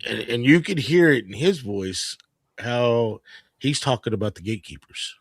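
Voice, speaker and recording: A man in his fifties chats on a ham radio livestream, stopping and restarting and repeating words as he talks. His pitch sits in the middle of the male range, and the recording is dry and close. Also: moderate pace, dry recording. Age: 50s. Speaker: ham radio guest on stream. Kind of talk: casual group chat on a livestream.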